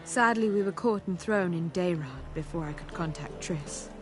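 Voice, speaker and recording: An actress playing a dignified sorceress and mentor in a video game, speaking in a formal, measured, solemn way. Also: female voice, lowish voice, lightly acted. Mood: grave, composed. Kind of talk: video game dialogue acting. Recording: music playing behind the voice.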